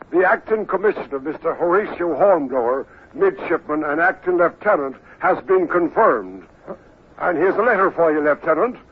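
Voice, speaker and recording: Actor as curt Irish prison aide, tinny old radio. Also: male voice, on the high side, natural voice. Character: brisk, matter-of-fact Irish renegade aide to prison commandant. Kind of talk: old-time radio drama acting. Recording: tinny old radio recording with faint noise.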